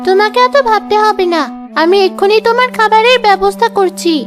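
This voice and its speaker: A woman acts a dutiful young village girl in a cartoon. The voice is extremely high and gentle. She sounds caring and concerned, with music clearly behind her. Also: a little fast. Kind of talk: Bengali cartoon dialogue.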